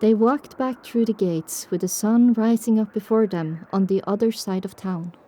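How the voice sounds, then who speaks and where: Woman, audio drama narrator, dark mellow timbre, medium pitch, scripted storytelling. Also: normal speed, poor audio.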